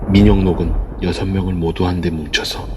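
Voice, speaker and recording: A man's voice: sits low, level tone, a little fast, noisy background. A man reading scripted narration for an audio drama. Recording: long reverb throughout.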